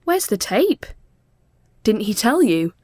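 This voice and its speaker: Woman voicing a high, bright, brisk support worker. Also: sing-song, no echo, clean studio sound, full character voice. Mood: startled concern.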